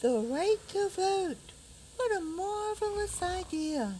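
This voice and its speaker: A woman's voice: very high voice, expressive, slow pace, dark tone, slight background noise, heavily acted. A woman acting a dreamy yet bold fairy-tale princess in a community radio play, with a theatrical delivery. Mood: dreamy, excited.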